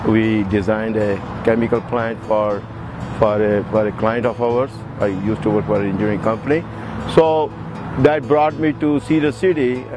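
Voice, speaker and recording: A man's voice: fairly low pitch, level tone, normal speed, over music. An older man from India with an Indian accent, giving unscripted answers in an interview. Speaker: interviewee, chemical engineer.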